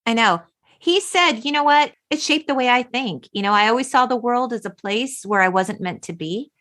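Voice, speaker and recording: A woman's voice: fairly high pitch, lively intonation, moderate pace, fairly bright tone. A woman hosting a podcast, recapping a film conversationally and acting out bits of dialogue as she retells it. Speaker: podcast host.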